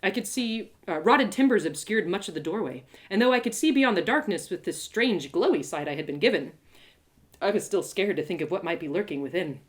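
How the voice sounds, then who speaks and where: A woman reading a first-person story aloud live, as its storyteller, in a dramatic, expressive way. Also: lowish voice, fairly quick. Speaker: author and storyteller.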